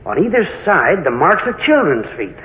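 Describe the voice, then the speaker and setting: A man's voice: on the high side, very animated, normal speed. An actor playing a grave male investigator in an old radio horror drama. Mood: grim, alarmed. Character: grave investigator at a haunted death scene. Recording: tinny, reverberant old radio recording.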